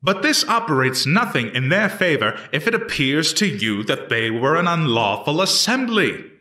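A man playing an earnest eighteenth-century prosecutor in a historical reenactment, addressing a jury in deliberate, declamatory, formal courtroom oratory. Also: on the high side, big pitch swings, sharp tone. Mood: earnest, persuasive. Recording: echoey room, distant microphone.

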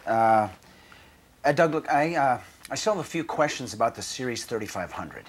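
A man's voice: mid-range voice, expressive, normal speed, a little hiss or hum, lightly acted. An actor in his forties playing an earnest, doubtful auditor, tactful and hesitant, in a corporate training-film drama. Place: indoor office.

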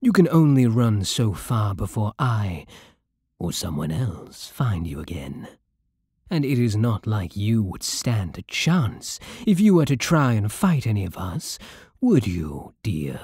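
A man plays a regal, possessive vampire king with a British accent in an ASMR roleplay. The voice is very breathy and close, in a dry recording. He speaks slowly, with haughty, seductive menace. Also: on the low side, no room sound, full character voice. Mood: menacing, mocking. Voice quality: breathy, soft. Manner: slow, menacing, haughty purring.

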